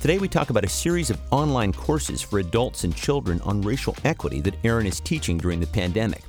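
Low-voiced male podcast host. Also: big pitch swings, fast, darkish tone, faint music behind. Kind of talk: scripted intro then interview questions.

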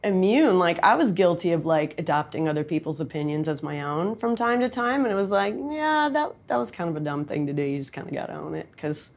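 A woman with a nasal, loud voice speaks in a casual, candid interview. Her talk is chatty and quick, full of asides. She sits a bit low in pitch for a woman. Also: expressive. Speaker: songwriter and recording artist guest.

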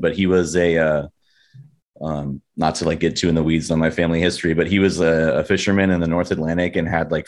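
A man with a Californian accent telling stories casually in an informal, rambling, slangy way. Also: deep voice. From San Diego, United States. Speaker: metal band vocalist, interview guest. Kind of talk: casual chat, storytelling.

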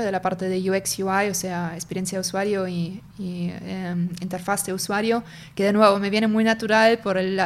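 Young woman talking in an interview, in her spanish, explains things slowly, keeps hunting for the right word. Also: mid-range voice. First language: German. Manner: explanatory, searches for words as she goes. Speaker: marketing and sales lead, interview guest. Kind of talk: casual interview conversation.

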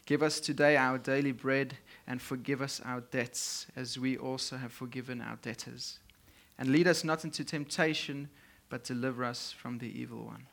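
Slow man preaching in short phrases. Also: medium pitch, fairly even intonation, creaky, a bit bright. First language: Afrikaans. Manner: speaks in short phrases, pausing for translation. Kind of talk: sermon with live interpreter.

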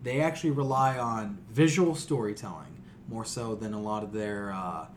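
A man co-hosting a podcast, talking casually and opinionatedly, with animated exasperation and casual swearing. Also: lowish voice, moderate pace, slight background noise. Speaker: podcast co-host.

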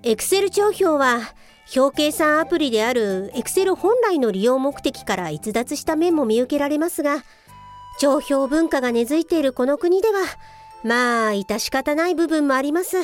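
Very high-voiced officious policewoman played by an actress. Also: lively intonation, normal speed, faint music behind. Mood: formal, lecturing. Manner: formal, assertive. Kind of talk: comic radio drama acting.

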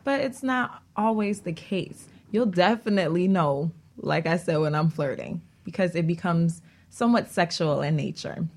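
Lowish-voiced woman, a candid guest. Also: normal speed, a little mellow. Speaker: talk show guest. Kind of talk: casual conversation.

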